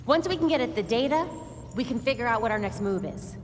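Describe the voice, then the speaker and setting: A woman's voice: on the high side, animated, fast, close to an everyday voice. A woman playing a determined young vigilante in a video game, direct and businesslike. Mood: focused. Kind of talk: video game cutscene acting. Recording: long reverb, clear background noise.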